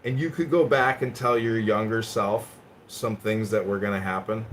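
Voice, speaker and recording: A man's voice: on the low side, fairly even intonation, moderate pace, slight background noise. Mocking, provocative male chat host. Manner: mocking, provocative, swings loud and quiet. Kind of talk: provocative roast on a live audio chat.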